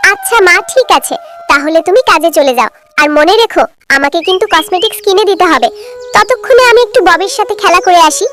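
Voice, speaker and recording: A woman's voice: very high-pitched, expressive, fairly quick, fairly bright tone, faint music behind. A woman voicing a wistful, kind little girl in a children's cartoon, with a pleading, childlike manner. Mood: cheerful.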